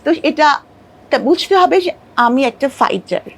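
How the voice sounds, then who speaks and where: High-pitched Bangladeshi woman speaking emphatically. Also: animated, normal speed. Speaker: interview guest, doctor. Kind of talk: personal life-story interview.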